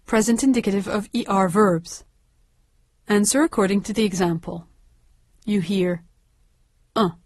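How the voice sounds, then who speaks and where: A woman reading a language course aloud, with slow, careful and precise enunciation. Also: medium pitch, darkish tone, husky, no room sound. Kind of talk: scripted instructional reading.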